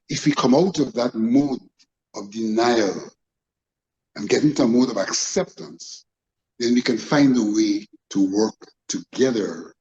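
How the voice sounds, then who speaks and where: A man with a Guyanese accent speaking as a panel guest, in formal, deliberate phrasing during a political discussion. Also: medium pitch, a little mellow, highs cut off.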